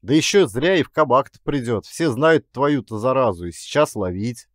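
A man's voice: mid-range voice, animated, moderate pace, no echo, studio quality, natural voice. A man voicing a chatty, teasing barge worker in an audiobook, blunt, folksy and exclamatory. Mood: scolding, amused.

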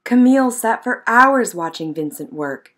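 A woman narrating a children's picture book in an expressive, measured storytelling manner. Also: on the high side. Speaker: storybook reader.

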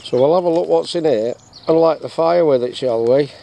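Middle-aged English bushcraft vlogger, a touch high-pitched, banters with himself on an outdoor camera mic. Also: male voice, a little fast, gravelly, warm tone. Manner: jokey, chatty, asks himself questions and answers. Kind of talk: casual outdoor demonstration. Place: woodland camp outdoors. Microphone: action camera on chest or tripod. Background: birdsong and faint outdoor noise.

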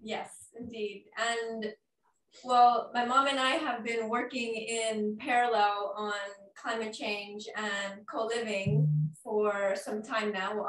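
A woman's voice: a little high, slight background noise. A young woman in her twenties, a panel guest introducing herself with some hesitations in a living room. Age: 20s. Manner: warm, slightly hesitant, frequent ums. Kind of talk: casual conversation. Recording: echoey room from a distance.

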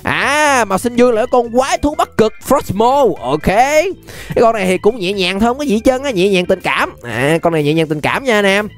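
Young man, Southern Vietnamese accent, high voice, excited gaming commentary, exclamatory, repetitive, music underneath. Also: brisk. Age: young adult. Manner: exclamatory, repeats phrases, drops in English interjections. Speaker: gaming YouTuber. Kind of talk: excited gameplay commentary for viewers. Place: indoor gaming room.

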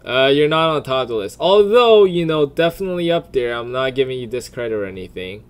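A man giving casual off-the-cuff commentary in a crude, profane, blunt way, often deliberating out loud. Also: mid-range voice, expressive, fairly quick, clear, resonant tone. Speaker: video creator.

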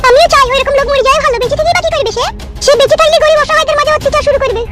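A woman voicing a dramatic, scheming village wife in a comedy cartoon, with a pitched-up voice. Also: rapid-fire, loud music behind the voice. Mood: concerned.

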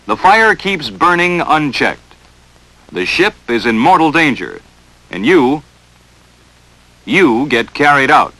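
Bright, animated American training-film narrator man. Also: a little high, takes it slowly, pauses often, low-quality recording. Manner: stern, emphatic, dramatic coaching delivery. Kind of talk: 1940s instructional film narration, second-person. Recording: vintage film soundtrack.

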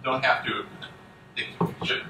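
A man gives a conference keynote talk in halting English full of restarts, speaking from the front of a conference room. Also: medium pitch, fairly even intonation, pushed and bright. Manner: halting non-native English, frequent restarts. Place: conference room with projector screen. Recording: echoey room, distant mic, faint hum.

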